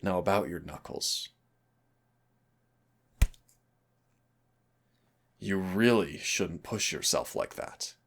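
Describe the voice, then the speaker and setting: A man playing a teasing but stern demon hunter in an audio roleplay, speaking deliberately with dramatic pauses in a gravelly, husky voice. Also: low voice, a little hiss or hum. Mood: stern, coaxing. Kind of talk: audio roleplay acting, intimate second-person dialogue.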